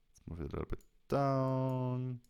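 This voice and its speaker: A man presenting a software tutorial as a voice-over, explaining things step by step in plain, short instructional phrases. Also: mid-range voice, lively intonation, measured pace, clear, resonant tone.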